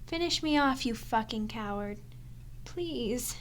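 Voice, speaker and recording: A woman playing a starving, captive female vampire in an ASMR audio drama, weak and sardonic, speaking in halting mutters and sighs. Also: fairly high pitch, animated. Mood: desperate pleading. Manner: halting, sighing, breathy muttering with sarcastic asides. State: weak, parched. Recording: clear background noise and ambience.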